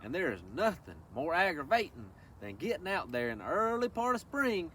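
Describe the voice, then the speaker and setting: A man's voice: a little high, big pitch swings, moderate pace, fairly bright tone. A man reading a picture book to children, speaking to them directly in a folksy, instructive way. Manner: instructive, addresses listeners directly with folksy asides and questions. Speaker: presenter of a children's read-along. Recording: distant microphone with faint background noise.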